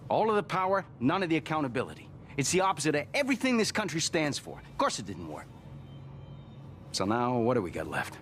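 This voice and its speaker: A male actor with an American accent playing a gruff, cynical patriot engineer in a video game, ranting in blunt, folksy speech. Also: a little high, wildly swooping pitch, brisk, a bit bright, a little hiss or hum. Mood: impassioned, bitter. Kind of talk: video game cutscene acting.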